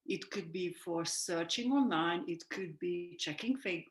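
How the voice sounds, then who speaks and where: A woman's voice: on the low side, unhurried. Woman, roomy sound. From Hungary. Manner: earnest, reflective, self-correcting. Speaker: sleep coach guest. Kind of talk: explanatory interview talk. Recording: roomy echo.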